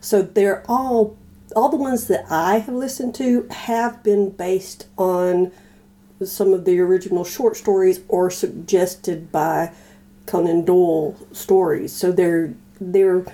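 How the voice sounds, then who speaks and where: Mellow-voiced woman, casual podcast guest. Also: fairly low pitch, steady tone, takes it slowly. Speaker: podcast guest, old-time radio fan. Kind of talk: casual conversation.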